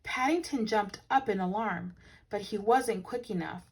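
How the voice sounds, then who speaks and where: A woman reading a children's picture book aloud as a teacher, with animated, dramatic emphasis. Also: mid-range voice, unhurried, husky. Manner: animated, dramatic emphasis with hushed asides to listeners.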